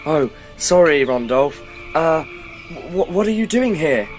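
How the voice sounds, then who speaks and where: A man's voice: on the high side, lively intonation, measured pace, poor audio. A man playing a fretful, cowardly young adventurer who exclaims to himself in an amateur comic radio play. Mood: surprised. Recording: music bed behind the voice.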